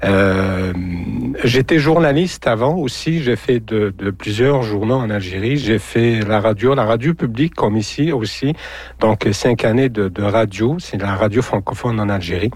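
A man's voice: on the low side, normal speed, no echo. A man from Algeria, a radio journalist, speaking as a guest in a radio interview and hesitating with many fillers and repeated words.

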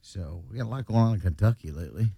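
A man's voice: fairly low pitch, expressive, quick pace, mellow, slightly rough, no room sound. A man, hosting a morning radio show in casual, teasing banter and often stumbling over his words. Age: 40s.

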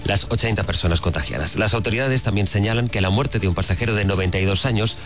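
A man reading national news headlines on radio in a rapid, steady delivery. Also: lowish voice, faint music behind. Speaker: newsreader.

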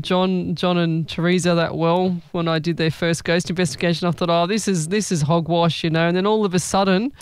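A man, a psychic medium hosting a live stream, chatting in a rambling, excitable way. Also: sits high, smooth, dry recording. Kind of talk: live-stream reading chat.

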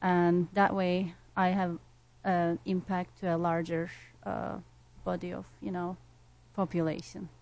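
A woman's voice: lowish voice, flat delivery, a little slow, vocal fry, hardly any pauses, darkish tone. Woman from Turkey, earnest speaker. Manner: earnest, many fillers. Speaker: music educator. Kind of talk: casual interview answer.

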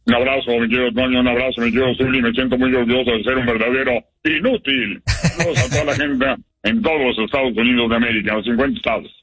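A man giving measured, earnest football commentary as a guest on a radio show. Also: mid-range voice, fairly bright tone, husky, low-quality recording.

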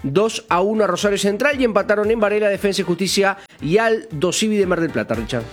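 A man reading a brisk sports results roundup on the radio. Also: high-pitched, animated. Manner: brisk score rundown. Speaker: sports reporter.

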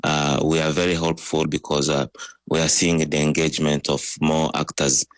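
A male doctor giving a remote news interview, speaking haltingly with many pauses. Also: extremely low pitch, flat delivery, dry recording. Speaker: doctor with an aid group, interviewee. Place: remote link.

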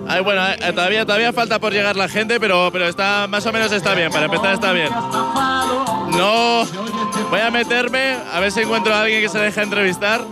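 A man's voice: sits high, steady tone, a little fast. A man reporting live a street dance and interviewing people, often repeating his words. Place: outdoor dance tent with loud speakers. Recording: loud music behind, street noise. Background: loud music.

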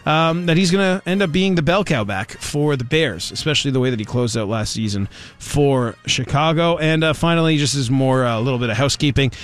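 A man's voice: mid-range voice, a little fast. Sports radio host type, man, sarcastic and rambling, faint music underneath. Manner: rambling, sarcastic, many fillers. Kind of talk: casual sports talk radio.

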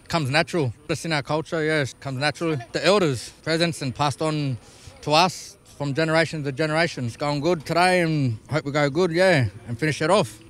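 Steady-spoken male dancer. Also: on the high side. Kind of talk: casual interview.

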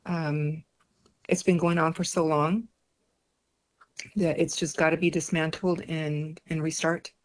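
Lower-pitched woman from Mexico, native Spanish speaker. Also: flat delivery, a little slow, long pauses. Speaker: political candidate. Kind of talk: campaign interview answers.

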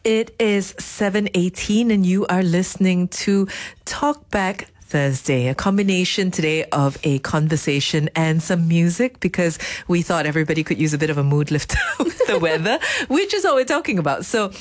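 A woman's voice: lowish voice, moderate pace. A woman hosting a radio talk show, chatty and self-deprecating, often laughing.

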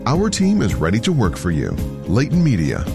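A man's voice: lowish voice, huge pitch swings, normal speed, over music. A man voicing a scripted radio commercial in a polished announcer delivery.

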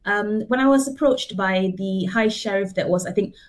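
A woman's voice: medium pitch, normal speed. A middle-aged woman from Singapore, a guest telling her story earnestly over a video call, with fillers and restarts. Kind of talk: remote interview.

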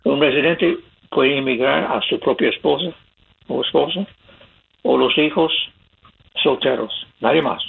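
Raspy older man on a tinny line. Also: medium pitch, a little slow, pauses often. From California, USA. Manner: plain-spoken, laughs while telling stories. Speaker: immigration advice guest. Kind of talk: casual radio advice talk. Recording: tinny phone-line sound.

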